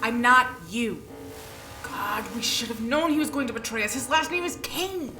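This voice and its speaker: Bright, defiant, high-voiced female prisoner. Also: moderate pace, reverberant, faint music behind. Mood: urgent, annoyed. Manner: sarcastic, biting, swearing. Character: defiant, sarcastic, foul-mouthed escaped female prisoner. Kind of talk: audio drama acting.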